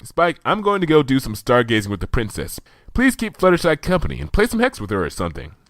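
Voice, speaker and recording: A man voicing an eager, bookish young female unicorn who talks in a brisk, earnest, slightly flustered way. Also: mid-range voice, huge pitch swings, a bit bright, dry recording, clean studio sound, voice pushed up.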